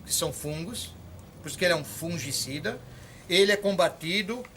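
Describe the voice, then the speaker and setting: A man's voice: sits high, expressive, fairly quick, bright, forceful tone, slight background noise. An older man from Brazil, demonstrating how to mix a farm spray in a folksy, encouraging way that speaks straight to the viewer. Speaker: orchard farmer teaching. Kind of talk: hands-on demonstration.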